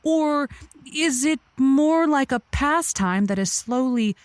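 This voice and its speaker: An earnest woman hosting a radio advice show. She picks her way haltingly through ornate phrases, thoughtful and easily puzzled. The sound is close and dry. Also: high-pitched, lively intonation, no room sound. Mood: thoughtful, concerned. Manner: thoughtful, halting, florid vocabulary.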